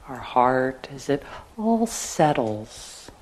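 A woman teaching guided meditation, speaking gently and leaving long pauses between short phrases. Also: very deep voice, very animated. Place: meditation retreat center.

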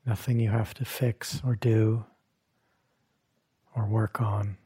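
A man with a mellow, husky voice guides a meditation. He speaks very slowly and gently, leaving long pauses between short phrases, like a teacher settling a group into stillness. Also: lowish voice, level tone. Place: meditation hall at a retreat.

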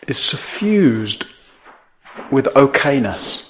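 A man teaching a guided meditation in measured phrases, with long pauses between them. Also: medium pitch, sing-song, fairly bright tone, a little hiss or hum.

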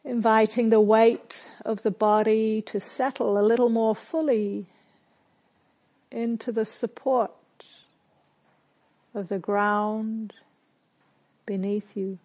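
A woman leading a guided meditation as a teacher, speaking gently and with measured, spare phrases separated by long silences. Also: fairly high pitch, steady tone. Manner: gentle, measured, softly instructive.

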